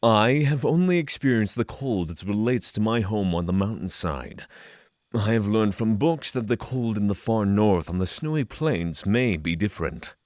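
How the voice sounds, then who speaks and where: A man's voice: lowish voice, lively intonation, a little mellow, dry recording, studio quality, natural voice. A man playing an earnest, cautious, reflective spiritual pilgrim in a fantasy audio drama, formal and hesitant. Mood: reserved, earnest. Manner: formal, hesitant, thoughtful pauses.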